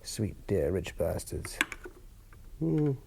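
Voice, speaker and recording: A man's voice: sits low, big pitch swings, slow, vocal fry, exaggerated voice. A wry, mocking lover who looks down on the rich. His delivery is dry and sardonic, full of muttered asides, over a noticeably hissy radio drama recording. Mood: scornful muttering. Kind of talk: radio drama acting with inner monologue.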